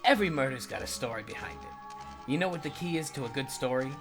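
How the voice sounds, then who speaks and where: A man's voice: medium pitch, lively intonation, fast, music in the background, lightly acted. A man voicing a deceptively humble, shrewd middle-aged detective in a comic fandub, with a folksy, rambling, bumbling manner. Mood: folksy, casual.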